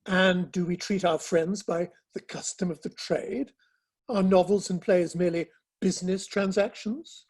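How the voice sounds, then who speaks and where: An earnest, frank Victorian gentleman, played by a man in a stage reading. The voice is husky, and the delivery is measured and formal, that of a principled friend. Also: high-pitched, fairly even intonation. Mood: reproachful. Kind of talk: online dramatic play reading.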